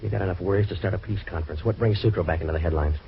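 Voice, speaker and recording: Dull noisy old radio recording, sardonic American detective, fast patter, deadpan, wisecracking. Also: male voice, low-pitched, creaky. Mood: wry, impatient. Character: hardboiled, wisecracking male private eye in trouble. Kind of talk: old-time radio detective drama acting and narration.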